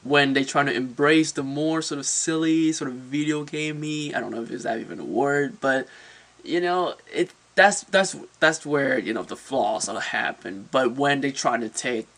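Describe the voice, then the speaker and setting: A man with a mid-pitched voice hosts a casual review, speaking straight to his viewers. He is informal and opinionated, often doubling back on words as he works out how to phrase his verdict. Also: moderate pace, a bit bright. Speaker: movie reviewer, channel host.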